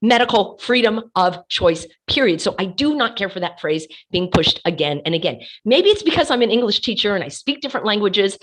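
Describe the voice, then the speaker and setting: A woman hosting an online commentary show, hoarse from laryngitis, talking passionately and hammering her points with repeated words. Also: mid-range voice. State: losing her voice. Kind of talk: casual commentary while sharing her screen.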